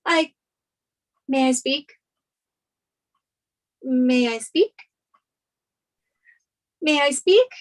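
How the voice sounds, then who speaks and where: Woman playing a very high-voiced flustered young bride. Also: drawn-out words, long pauses. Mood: pleading. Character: flustered young runaway bride, pleading and anxious. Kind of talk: sitcom table read.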